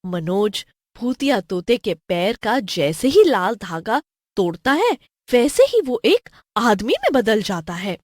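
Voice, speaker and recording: Female cartoon horror narrator: animated intonation, measured pace, scripted storytelling in a dry studio sound. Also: mid-range voice, no echo.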